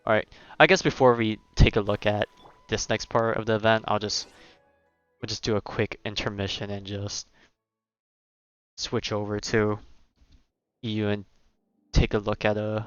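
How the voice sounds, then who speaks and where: Low-voiced man casually streaming a game. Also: flat delivery, normal speed, pauses often, fairly bright tone, clean tone, dry recording, muffled recording, soft background music. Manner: hesitant, rambling, thinking aloud. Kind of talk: casual livestream gameplay commentary.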